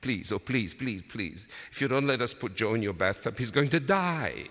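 A man as an earnest young child, pleading, storytelling, lifted pitch, rough-edged voice. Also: wildly swooping pitch, measured pace, bright, forceful tone, heavily acted. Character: pleading, earnest nine-year-old child defending a pet fish. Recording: long reverberant hall.

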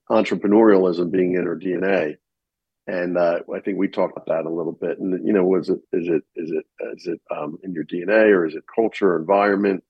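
A man's voice: deep voice, darkish tone, breathy edge, no echo, highs cut off. A man hosting an interview, introducing his guest and asking friendly, rambling questions with restarts. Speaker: podcast host.

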